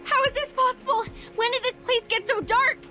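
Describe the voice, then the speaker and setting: Video game character, hot-headed young female student director, very high pitch, forceful bright tone, snappy, combative. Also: fairly even intonation, moderate pace, faint music behind. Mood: confused, alarmed. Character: hot-tempered, brash young woman film student director. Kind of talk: dramatic video game voice acting.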